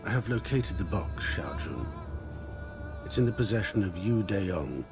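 An actor playing an older assassin master in a video game, a calm, patient mentor who speaks gravely and deliberately. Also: male voice, sits low, loud music behind the voice. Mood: cautioning. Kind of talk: dramatic game cutscene dialogue.

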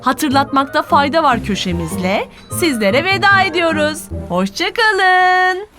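A woman narrating an educational children's cartoon, addressing young viewers in a didactic, encouraging, clearly articulated way. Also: high-pitched, measured pace. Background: music.

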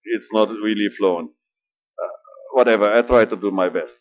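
A middle-aged man with a German accent, speaking halting English at home with many filler sounds and restarts. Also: on the low side. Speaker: model ship builder. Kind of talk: casual hobby vlog. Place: small room indoors. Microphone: webcam at desk. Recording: dull lo-fi webcam audio.

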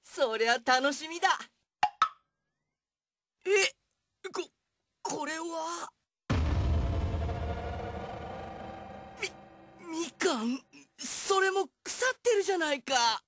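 A woman's voice: sits high, expressive, slow pace, long pauses, very noisy. A woman voicing a mild, polite, easily flustered teenage boy in an anime radio drama, earnest and hesitant. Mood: baffled.

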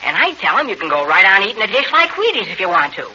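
A man's voice: high voice, sing-song, fast, pushed and bright, heavily acted. A male actor playing an eager, boisterous American boy in a 1940s radio serial, exclamatory and slangy. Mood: earnest persuading. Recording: muffled noisy 1940s radio broadcast.

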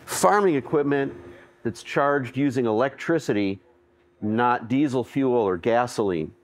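A man's voice: mid-range voice, unhurried, dry recording. An older man presenting outdoors in a plain, conversational way, gesturing as he explains. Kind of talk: explainer talk to camera. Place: outdoors by solar panels and at a winery.

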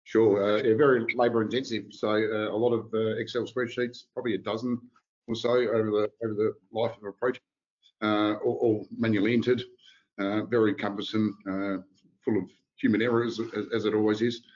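A man's voice: lowish voice, little pitch movement, fairly quick, darkish tone. A man on a panel video call, answering in terse, short phrases. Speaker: panelist, facades construction director. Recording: dull lo-fi video call audio.

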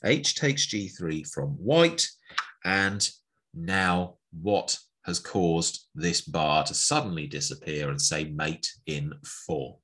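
A man's voice: deep voice, takes it slowly, a bit bright, breathy edge, poor audio. A middle-aged man, teaching chess in a chatty, playful way as he calls out the moves one by one. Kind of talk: chess lesson narration over an on-screen board.

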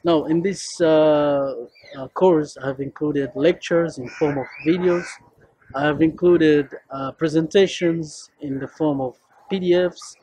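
A man's voice: on the high side, steady tone, measured pace, warm tone, husky, faint music behind, low-quality recording. A young man with a Kenyan accent, a friendly Swahili teacher introducing his course to camera on an outdoor rooftop, talking loosely with many fillers.

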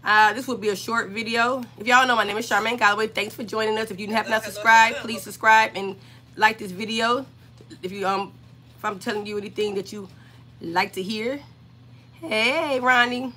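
A woman in her forties, hoarse and scratchy from being sick, chatting on a livestream from her home craft room and greeting viewers by name. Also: fairly high pitch, steady tone, moderate pace, pushed and bright. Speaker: craft channel host.

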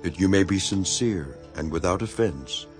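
Casting: earnest imprisoned apostle, lowish voice, measured and solemn, for dramatized Bible audio with musical backing. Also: male voice, lively intonation, faint music behind. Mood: warm devotion. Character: Apostle Paul, imprisoned, earnest, devoted elder writing to his flock. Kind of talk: dramatized scripture reading.

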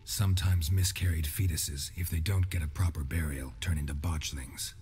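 Cold, cynical monster hunter for a fantasy game: extremely deep, deadpan, terse, faint music behind. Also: male voice, moderate pace. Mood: matter-of-fact. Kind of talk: dramatic video game acting.

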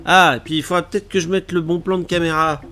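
A man's voice: fairly high pitch, fairly even intonation, fast talker, pushed and bright, soft background music. A man in his thirties with a cold, chatting casually to his live-stream viewers at home while fixing tech problems and gaming. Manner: chatty, self-mocking, fussing over tech trouble. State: stuffy with a cold. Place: small room at home, gaming chair.

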